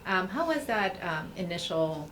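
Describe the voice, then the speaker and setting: Attentive woman interviewer, low-pitched. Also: very animated, measured pace. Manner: attentive, frequent soft backchannels. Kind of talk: oral history interview. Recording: faint background noise.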